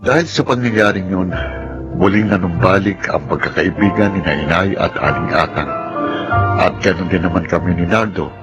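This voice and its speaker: A man acting an earnest son, low-voiced over music. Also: normal speed, lo-fi sound, lightly acted. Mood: earnest, reflective. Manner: earnest, respectful. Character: earnest young Filipino son, brave and forgiving. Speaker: drama actor and first-person narrator. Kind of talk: Filipino radio drama acting. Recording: loud music under the voice.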